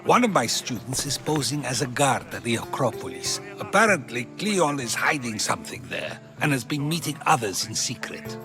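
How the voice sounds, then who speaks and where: Lively, probing Greek philosopher. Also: male voice, mid-range voice, over music. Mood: conspiratorial, assured. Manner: measured rhetorical questioning. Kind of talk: video game dialogue acting.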